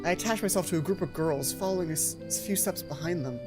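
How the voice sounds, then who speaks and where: A woman with a lowish voice plays through a story game, reading narration aloud. She keeps interrupting herself with playful, chatty asides. Game music plays clearly behind her. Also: fast. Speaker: let's play streamer. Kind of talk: reading game script aloud with casual commentary. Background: video game music.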